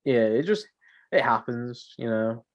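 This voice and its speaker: A man's voice: medium pitch, animated, measured pace. Young guy co-hosting a podcast, kinda rambly, repeats himself, muffled lo-fi mic. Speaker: podcast co-host. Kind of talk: casual podcast chat. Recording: dull lo-fi voice mic.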